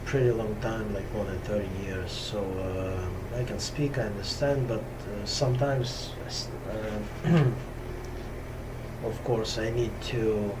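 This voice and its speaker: Slow soft-spoken Russian-accented man. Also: on the low side, steady tone, background noise. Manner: slow, hesitant, many pauses and fillers. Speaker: yoga teacher. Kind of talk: casual spiritual talk to camera. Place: top-floor room at home on a hot day. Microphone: camera.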